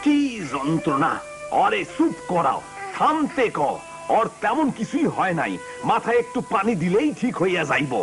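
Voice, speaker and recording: An irritable cartoon village dad, stingy and blustering, in a rural Bangladeshi accent. His pitch is high and leaps about wildly over background music. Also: sing-song, normal speed. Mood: exasperated. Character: grumpy, stingy village father who dismisses daughters. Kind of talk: cartoon voice acting.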